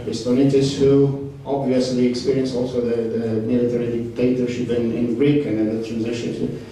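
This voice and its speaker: A man speaking on a discussion panel, halting, with many fillers and restarts. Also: mid-range voice, level tone, mellow. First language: Romanian. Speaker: panel participant. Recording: echoey hall, distant mic, faint noise.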